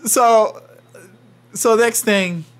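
A man from Saint Kitts, a podcast guest telling a story in lively conversation, often laughing and acting out what people said. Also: high-pitched, extremely slow. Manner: animated, laughs often, acts out quotes.

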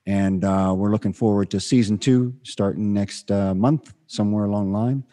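A man's voice: on the low side, steady tone, normal speed, mellow. A man hosting a talk show, casual and easygoing. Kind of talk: casual chat.

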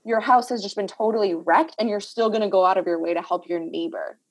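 A woman's voice: medium pitch, fairly quick. A woman hosting a casual talk, reflective and thinking aloud with many "like" fillers. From Puerto Rico. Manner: reflective, heavy use of "like" fillers. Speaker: podcast host. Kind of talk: casual conversational discussion.

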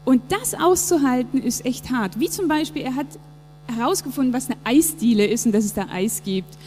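A woman in her thirties from Japan talks earnestly and thoughtfully in a church interview. Her voice sits a little high but has a warm, mellow color. The sound carries a long reverb, with faint music underneath. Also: moderate pace. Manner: reflective, earnest, with fillers. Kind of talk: casual conversation in a church service interview.

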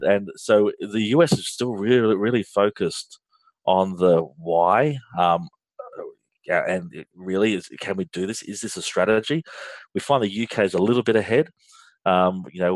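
A man's voice: low voice, steady tone, moderate pace, no room sound, rough recording. An Australian man, a guest in a business interview, explaining with frequent ums and restarts. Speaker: guest, finance outsourcing firm leader.

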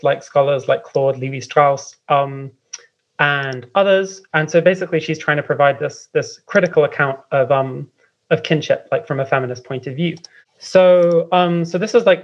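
A guest from London talks through ideas in a meandering way, full of fillers and small laughs. The voice sits at an ordinary middle pitch. The sound is a bit dull and lo-fi, like a remote call. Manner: meandering, lots of "um" and "like", light laughs. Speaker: guest writer and editor. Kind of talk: casual remote interview explanation.

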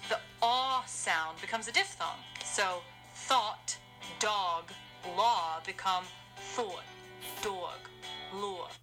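A woman with an American accent, giving a clear accent coaching lesson in a played video. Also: medium pitch, drawn-out words, music in the background. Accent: General American. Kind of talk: instructional video.

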